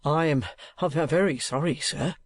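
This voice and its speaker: A man's voice: a little high, unhurried. A man reading aloud, voicing a meek, apologetic husband who speaks humbly and tries to placate. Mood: humble. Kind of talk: audiobook character voice.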